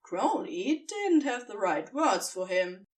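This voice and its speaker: A woman narrating fan fiction like an audiobook, with deliberate, dramatic phrasing and emphatic pauses. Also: medium pitch, sing-song, distant mic.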